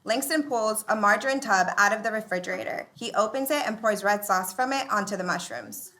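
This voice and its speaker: A woman's voice: medium pitch, animated, a little fast, pushed and bright. A woman narrating scripted stage directions for a live sitcom table read.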